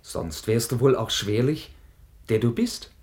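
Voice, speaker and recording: An actor playing a proud, impetuous young knight, curt and ironic, in a 1950s German radio play. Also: male voice, medium pitch, animated, measured pace, a little mellow. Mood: proud, reserved. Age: young adult.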